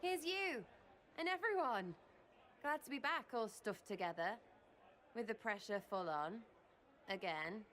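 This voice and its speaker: A woman's voice: a little high, animated, a little slow, pauses often, fairly bright tone. A cheeky, scrappy young woman. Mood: cheeky, restless. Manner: clipped, fragmented, cheeky phrasing. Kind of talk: video game cutscene dialogue.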